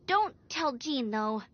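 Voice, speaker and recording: A woman's voice: sits high, dull audio, heavily acted. A woman playing a perky, bossy but friendly young female examiner in a video game, chatty, playful and emphatic. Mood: excited, chatty. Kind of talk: animated video game voice acting.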